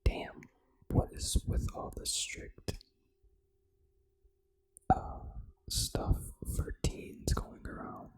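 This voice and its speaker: An ASMR gaming host, reading trivia in a breathy, unvoiced whisper with casual, informal asides. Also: a little mellow. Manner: casual, informal, unhurried reactions to what is read.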